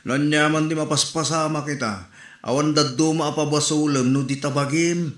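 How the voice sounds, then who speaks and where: A man's voice: fairly high pitch, steady tone, normal speed, a bit bright, natural voice. A man with an Ilocano accent playing a teasing, advice-giving older father in a comedy audio drama. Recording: roomy echo, music underneath.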